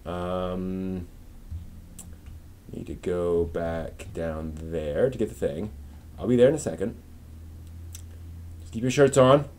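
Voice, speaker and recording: A man's voice: sits low, warm tone. A man hosting a casual live stream, rambling and often muttering to himself as he works.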